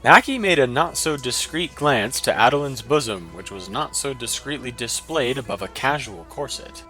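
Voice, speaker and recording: A man narrating a fantasy short story in an even, descriptive manner. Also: mid-range voice, moderate pace, faint music behind.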